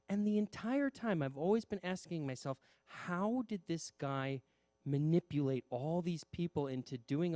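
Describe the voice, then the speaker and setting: A man interviewing in a casual, playful and expressive chat. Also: on the high side, no echo, clean studio sound. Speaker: interviewer, theater director.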